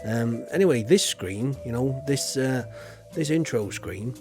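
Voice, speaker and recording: A man's voice: medium pitch, lively intonation, measured pace, music in the background. A man hosting a livestream, chatting to viewers in a rambling, folksy way full of filler. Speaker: livestream host. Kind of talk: casual livestream chat to viewers.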